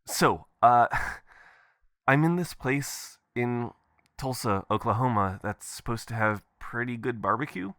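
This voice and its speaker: A man's voice: medium pitch, slow, no echo, studio quality. A man playing an adventurous, affectionate boyfriend leaving casual, playful voicemails in an intimate roleplay style. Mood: sheepish, amused. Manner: casual, expressive, chuckling, swearing. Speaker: audio performer.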